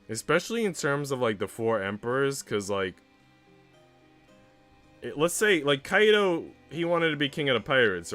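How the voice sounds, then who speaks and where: A man's voice: fairly low pitch, fairly bright tone, clean tone. A man live-streaming as he reads a visual novel aloud and chats casually in slangy, mildly sweary language. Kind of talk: casual live-stream chat mixed with read-aloud narration.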